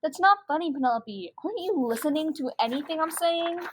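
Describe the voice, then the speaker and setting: A young girl performs a scheming schoolgirl in a comedic radio play. She speaks in a high voice, exasperated and intense, like someone confessing with pride. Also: animated, fairly quick, a little hiss or hum. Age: child about twelve.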